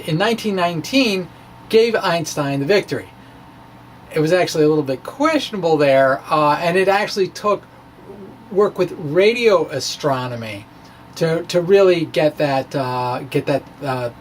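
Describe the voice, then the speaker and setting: A middle-aged physics blogger gives an informal dramatic reading, halting and emphatic, with trailing asides. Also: medium pitch. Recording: background noise, ambient sound.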